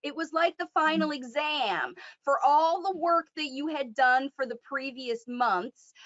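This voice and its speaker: A woman's voice: sits high, lively intonation, measured pace, fairly bright tone, highs cut off. A woman acting as a parent coach on a call, talking in an emphatic, encouraging way and often checking in with "right?". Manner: emphatic, encouraging, frequent "right?" tag questions.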